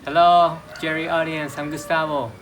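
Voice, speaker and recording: Young Mexican man, calm and measured. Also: fairly high pitch, a little hiss or hum. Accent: Mexican Spanish. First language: Spanish. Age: young adult. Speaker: interview guest. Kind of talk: casual conversation. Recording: clear music in the background.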